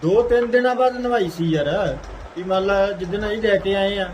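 A high-voiced man with a Punjabi accent argues heatedly in plain rustic speech. He complains insistently and swears freely. It is a phone call recording with noise behind him. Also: moderate pace, a little mellow. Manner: complaining, insistent, coarse-mouthed. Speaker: aggrieved buyer on a phone call.

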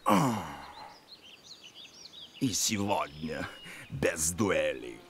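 An actor voicing a suave, pompous, grinning piano gentleman in a children's cartoon, with theatrical drawn-out delivery. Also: low-pitched, very animated, slight background noise, exaggerated voice. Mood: gallant, amused. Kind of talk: children's cartoon character acting.

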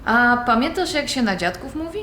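A woman's voice: fairly high pitch, very animated, normal speed, sharp tone. A woman playing an adult granddaughter with a dry, conversational manner in a naturalistic audio drama. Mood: casual. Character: Agnieszka, adult granddaughter, dry, easily irritated. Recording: very roomy, faint background noise.

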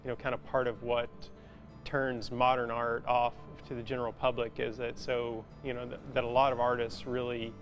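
A man in his forties, a metal artist, talking reflectively and haltingly in a documentary interview, with lots of casual fillers, on a lapel mic. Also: medium pitch, little pitch movement, fairly bright tone. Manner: halting, thoughtful, filler-heavy casual speech with many "you know"s. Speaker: metal sculptor being interviewed. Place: large indoor metal workshop. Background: instrumental music.

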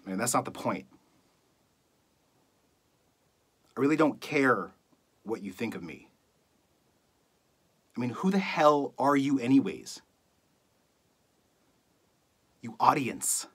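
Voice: big pitch swings, normal speed, long pauses, slightly rough. A performer playing a bitter, contemptuous late-night talk radio host in a scornful, theatrical monologue. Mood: weary, defensive. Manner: scornful, accusatory, building from low muttering to shouting.

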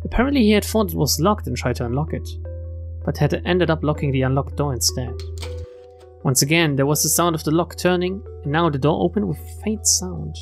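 A woman reads visual novel text aloud as a streamer. Her delivery is expressive narration, following the story's inner thoughts. Game music plays behind her voice. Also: low voice, brisk, vocal fry.

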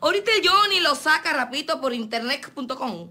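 An actress with a Peruvian accent playing a loud, boastful, bossy middle-aged mother in a sitcom. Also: high voice, lively intonation, fast, loud music behind the voice. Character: loud brash mother Reyna, pushy and boastful. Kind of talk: sitcom acting. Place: indoor set.

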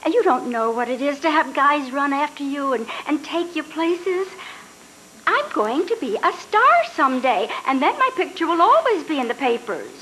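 Brash, fame-hungry young sister. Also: female voice, sits high, moderate pace, soft background music, rough recording. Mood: defiant. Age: older. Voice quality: lively, defiant, flippant. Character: brash young woman dreaming of stardom. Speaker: reader's theatre actress. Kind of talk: live radio drama reading. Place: hotel ballroom stage with audience. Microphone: stand mic on stage.

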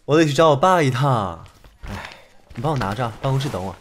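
Man acting as a lively, cheeky young cop. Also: medium pitch, fairly quick, a little hiss or hum, natural voice. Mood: casual. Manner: animated, teasing, casual. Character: playful young male cop and beauty livestreamer, cheeky and chatty. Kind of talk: Chinese audio drama acting.

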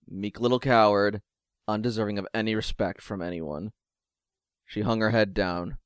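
A man's voice: lowish voice, smooth, no echo, studio quality. A man reading scripted third-person story narration as the narrator of an audio drama.

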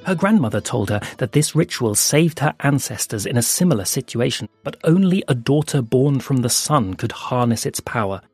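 A man with a husky, slightly breathy voice tells a fable slowly and softly, pausing for effect. Quiet music plays beneath him. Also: medium pitch, lively intonation, no room sound. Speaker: narrator. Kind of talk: scripted audio fiction narration. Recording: soft music underneath.

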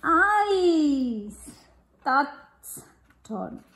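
High-voiced woman reading a picture book, echoey room. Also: slight background noise. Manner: deliberate, expressive, prompting the child with questions. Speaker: picture-book reader to a child. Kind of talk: storybook read-aloud with chat to a child. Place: room at home, at a glass table.

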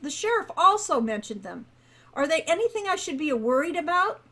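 A woman's voice: high-pitched, sing-song, a little fast, bright, forceful tone, voice pushed up, full character voice. A woman voicing a polite, earnest young male adventurer who speaks hesitantly.

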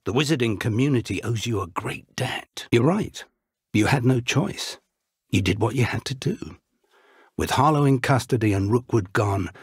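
Husky elderly British wizard professor. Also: male voice, lowish voice, dry recording, clean studio sound. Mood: solemn, reassuring. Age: older. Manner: measured, formal, refined phrasing. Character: kindly, earnest elderly wizard professor and mentor. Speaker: game character voice actor. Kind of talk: video game dialogue acting.

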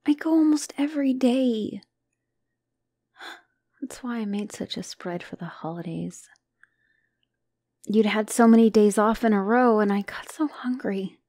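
A woman's voice: medium pitch, warm tone, dry recording. A woman playing a loving, anxious spider-woman fiancée in an ASMR roleplay, speaking tenderly and hesitantly. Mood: ashamed. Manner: tender, hesitant, often dropping to whisper.

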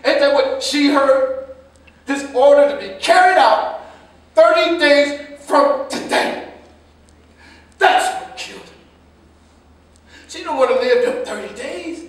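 Male actor, bereaved angry older father, very high pitch, accusing, repetitive emphasis, stage play, distant echoey hall recording. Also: unhurried, long pauses. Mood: furious, grieving. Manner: accusing, forceful, repeats phrases for emphasis. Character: grieving, furious older father disowning his murderer son. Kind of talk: theatrical staged play reading. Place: indoor hall, staged reading.

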